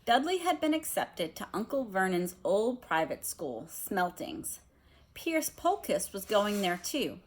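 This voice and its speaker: A woman speaking as a teacher doing a read-aloud of a children's novel, explaining things in a careful, measured way. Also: medium pitch, sing-song. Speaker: teacher reading aloud to students.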